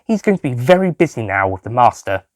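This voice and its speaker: A man voicing a brisk, bossy female space agent in an audio story. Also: medium pitch, very animated, no echo, studio quality, natural voice. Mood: amused.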